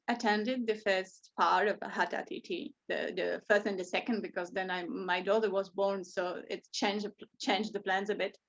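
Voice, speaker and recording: A woman's voice: mid-range voice, fairly bright tone, highs cut off. A woman from the Czech Republic with a Czech accent, a yoga teacher, talking informally and emphatically to a group in English as a second language, repeating words for stress. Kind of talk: informal personal talk to a group.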